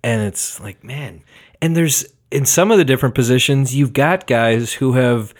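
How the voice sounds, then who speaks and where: A man's voice: mid-range voice, moderate pace. A man co-hosting a sports podcast, chatting casually with dry, laid-back humour.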